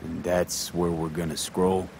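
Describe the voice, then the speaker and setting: An actor playing a wry, cocky young street mercenary in a video game, talking in short slangy lines. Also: male voice, low voice, little pitch movement, measured pace, creaky, noisy background. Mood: curious. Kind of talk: cinematic game dialogue.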